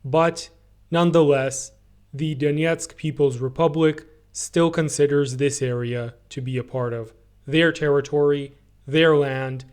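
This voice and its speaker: A man delivering an emphatic commentary monologue, speaking earnestly and pressing his points firmly. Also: fairly high pitch. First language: not German. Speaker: political commentator.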